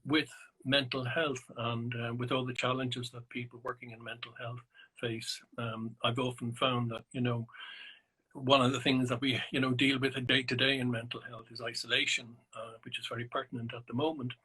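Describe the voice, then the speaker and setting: A middle-aged Irish man, a psychotherapist and naturalist, answering interview questions thoughtfully over a video call, with many pauses and restarts. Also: medium pitch, pushed and bright, husky, low-quality recording. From Ireland.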